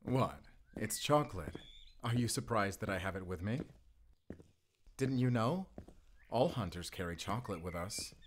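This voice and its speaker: A man's voice: on the low side, expressive, moderate pace, pauses often, a little hiss or hum, close to an everyday voice. A man playing a wry, confident wolf hunter in an audio roleplay, talking in a teasing, conversational way to a companion. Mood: playful, teasing. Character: wry, playful male German wolf hunter, confident, protective. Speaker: voice actor. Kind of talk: cinematic audio roleplay acting.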